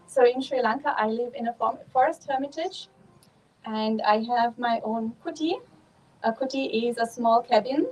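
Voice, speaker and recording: A woman with a German accent, a Buddhist nun giving a calm, measured dharma talk with frequent pauses and ums. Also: on the high side, recorded from a distance, low-quality recording. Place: monastery hall.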